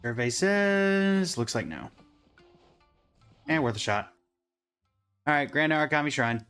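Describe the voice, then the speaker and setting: A man streaming a game with casual, wry, low-key commentary. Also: lively intonation, unhurried, fairly bright tone, smooth. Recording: faint game music behind.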